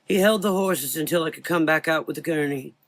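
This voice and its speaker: A man voicing a frightened, guilt-ridden blind old doctor in a gothic audio drama, anguished and halting as he talks. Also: on the high side, no echo, close to an everyday voice. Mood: anguished, haunted.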